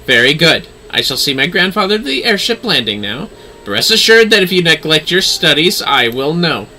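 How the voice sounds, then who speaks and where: A man's voice: on the high side, very animated, normal speed, sharp tone, a little hiss or hum, exaggerated voice, poor audio. A man voicing a haughty, stern young noblewoman astrologer from a game, formal and scolding. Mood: imperious. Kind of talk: game dialogue read-aloud.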